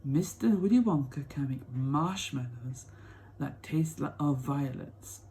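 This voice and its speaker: A man reading a children's story as an excitable old grandfather, full of wonder, emphatic and savoring each detail. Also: on the high side, rough recording. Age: older. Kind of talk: children's storybook read-aloud.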